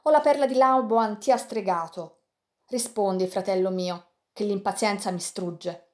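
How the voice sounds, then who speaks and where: A woman reading an audiobook, voicing a loyal, excitable male pirate friend who speaks in a raised, pleading tone. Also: unhurried, natural voice. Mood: anxious. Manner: excited, pleading, raised and higher than the narration.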